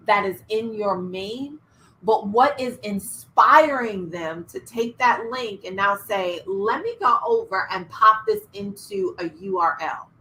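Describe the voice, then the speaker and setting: A middle-aged woman coaching entrepreneurs in an online workshop, energetic, laughing and asking the audience questions. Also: mid-range voice, poor audio. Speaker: business coach. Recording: faint background noise.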